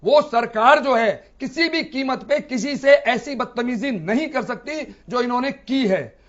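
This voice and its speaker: High-voiced man arguing point by point. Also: measured pace, a bit bright. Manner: point-by-point, challenging with yes-or-no questions. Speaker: debate panelist, political commentator. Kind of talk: argumentative TV debate talk. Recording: dull, band-limited broadcast audio.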